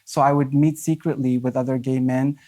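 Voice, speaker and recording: A man's voice: medium pitch, level tone, a little fast, dark tone. Earnest man in his forties. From Afghanistan, raised in Southern California. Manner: earnest, frequent fillers and restarts, laughs often. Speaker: author guest. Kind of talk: conversational interview.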